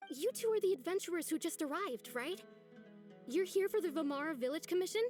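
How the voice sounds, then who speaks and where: High-voiced, worried young villager. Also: female voice, animated, fairly quick, a little mellow, faint music behind. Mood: hopeful, worried. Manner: polite, pleading. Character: earnest, worried young village woman, polite and pleading. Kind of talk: video game character acting.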